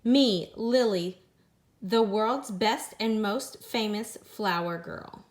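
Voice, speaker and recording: A woman's voice: medium pitch, takes it slowly, close to an everyday voice. Lady doing a proud dramatic little mouse girl, storybook style, emphatic, drags out words, very determined. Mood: dreamy, determined.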